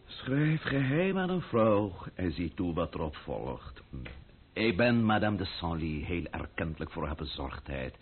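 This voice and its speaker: Deftige, sarcastische graaf met sterk wisselende toon. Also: male voice, deep voice, big pitch swings, unhurried, husky, narrow, tinny audio, full character voice. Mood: sarcastic, indignant. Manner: ornate, ironic, theatrical politeness. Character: proud, sardonic French count, elaborately courteous, exasperated. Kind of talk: old-fashioned Dutch radio drama acting.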